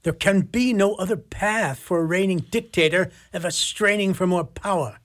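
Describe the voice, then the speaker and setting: An actor playing a suspicious, plotting Roman senator, formal and ominous, in a radio drama. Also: male voice, sits high, normal speed. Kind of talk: mock-Shakespearean radio drama acting. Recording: loud background noise, clicks.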